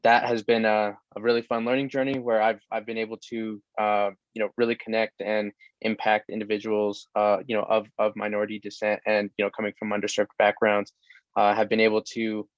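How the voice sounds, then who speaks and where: Young man, flat low voice, muffled audio. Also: brisk, dry recording. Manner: eager, heavy on "you know" fillers. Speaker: recent graduate, startup founder. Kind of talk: panel self-introduction. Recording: dull, dry, muffled webcam audio.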